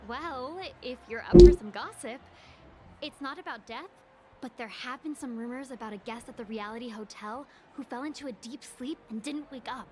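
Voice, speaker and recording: A cheerful young singer, as an actress voices her in a video game. Her voice sits high and bright, chatty and gushing. Clear background noise runs through the audio. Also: moderate pace, lightly acted. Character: bubbly young female singer.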